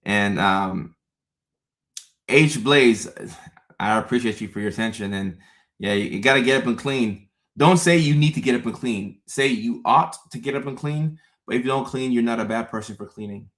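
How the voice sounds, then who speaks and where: A man in his thirties from Ghana, hosting a relaxed livestream and chatting with viewers, often repeating his phrases. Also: mid-range voice, moderate pace. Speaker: host. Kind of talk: casual livestream chat.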